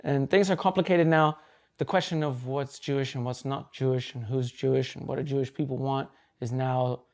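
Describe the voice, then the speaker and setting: A man's voice: medium pitch, a little mellow. A man, a rapper, answering interview questions in an earnest, thoughtful way, talking in long runs.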